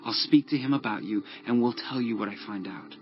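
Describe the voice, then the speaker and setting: Loyal young prince, male actor, medium pitch, sincere and urgent, scripture drama, slightly muffled recording. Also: fairly quick, faint music behind, natural voice. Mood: urgent warning. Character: loyal, earnest young prince protecting his friend.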